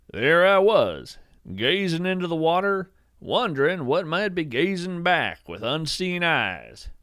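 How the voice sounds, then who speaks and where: Man acting as earnest podcast naturalist, measured poetic narration, very lively intonation, dry studio sound, full of wonder. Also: on the high side, dry recording. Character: awestruck bearded male naturalist who studies strange creatures. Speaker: host and narrator of a fiction podcast. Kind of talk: scripted first-person narration, storytelling.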